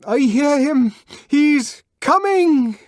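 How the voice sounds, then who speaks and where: An ecstatic devotee of a cult in a dramatic audiobook. The voice leaps very high, shrill and strained, and stretches each cry in frenzy. The sound is close and dry. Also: male voice, animated, no echo, full character voice. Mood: ecstatic, frenzied. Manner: drawn-out, frenzied cries.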